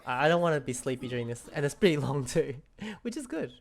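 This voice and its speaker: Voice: mid-range voice, big pitch swings, fast, gravelly. A person giving casual, off-the-cuff commentary while playing a video game. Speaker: gaming streamer playing and commenting.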